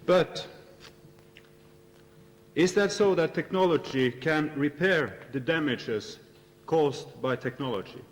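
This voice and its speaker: Slow-speaking man in a hall. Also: fairly high pitch. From Norway. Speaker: audience member asking a question. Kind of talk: question at a panel debate. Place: lecture hall at a student festival. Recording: reverberant hall with faint hum.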